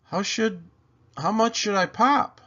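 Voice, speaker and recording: Man putting on an eager storybook housekeeper lady, high-pitched. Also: slow, a bit bright, muffled recording, heavily acted. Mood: willing, questioning. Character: literal-minded, cheerful, eager housekeeper woman.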